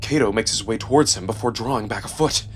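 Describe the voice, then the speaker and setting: A man reading a first-person story as dramatic, expressive scripted narration with a sense of suspense. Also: fairly low pitch, fast, soft background music. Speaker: narrator and performer.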